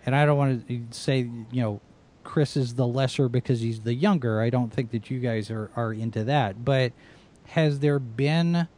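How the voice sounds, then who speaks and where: A man's voice: medium pitch, normal speed, darkish tone. A man interviewing guests, asking long, searching questions full of restarts.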